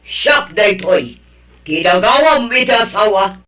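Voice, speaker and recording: A woman's voice: mid-range voice, expressive, a bit bright, soft background music. A woman with an Ilocano accent playing a wronged wife who scolds and accuses her husband's mistress. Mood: scornful. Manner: scolding, accusing, slowly emphatic. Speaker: voice actor in an audio drama. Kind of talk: Ilocano audio drama acting.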